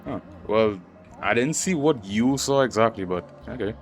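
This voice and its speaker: A man with a fairly low voice talks casually through a video game story as he plays. He muses aloud and tends to repeat himself, with faint music playing underneath. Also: animated, normal speed. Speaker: game streamer reacting to and reading a game story. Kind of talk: casual playthrough commentary.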